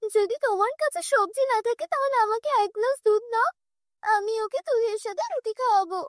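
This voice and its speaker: A woman's voice: very high voice, brisk, no room sound, studio quality, pitched above the performer's own voice. A woman voicing a dutiful, protective orphan girl who speaks earnestly and politely in a cartoon story. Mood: pleading.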